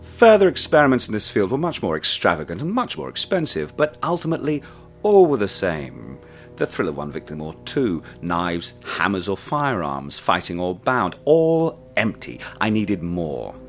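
An actor narrating as a wealthy, jaded male killer, in a brooding, measured way. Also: sits low, huge pitch swings, soft background music, heavily acted. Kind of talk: narration in a radio drama.

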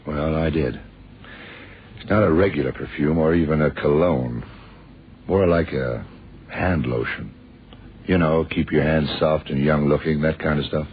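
A man playing a shrewd, world-weary detective in a radio drama, deliberate and slangy as he thinks aloud. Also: extremely low pitch, a little hiss or hum. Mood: probing. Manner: deliberate, musing, terse and slangy. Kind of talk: 1960s-70s radio drama acting. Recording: muffled, tinny old radio recording.

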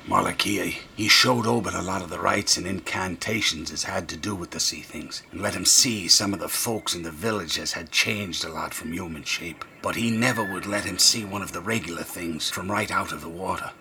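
Rambling old sailor, harshly bright. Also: male voice, low voice, moderate pace, creaky, few pauses, a little hiss or hum, heavily acted. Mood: conspiratorial. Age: older. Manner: folksy, dropped grammar, dramatic whispers rising to shrieks. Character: rambling, half-crazed old drunken sailor, secretive and fearful. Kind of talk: radio drama monologue.

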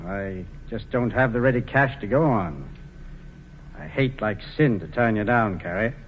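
A man's voice: on the low side, animated, a little slow. An actor playing a plain, homespun small-town American tradesman with an apologetic way of talking, in 1940s radio drama style. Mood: regretful. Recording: dull vintage radio broadcast, some noise.

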